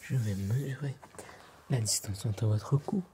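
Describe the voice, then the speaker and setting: Whispering Frenchman in a soft ASMR roleplay. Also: medium pitch. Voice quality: breathy, mostly whispered. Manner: polite, formal, gentle, unhurried instructions. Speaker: ASMR roleplay performer as a tailor. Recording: clear background noise with clicks.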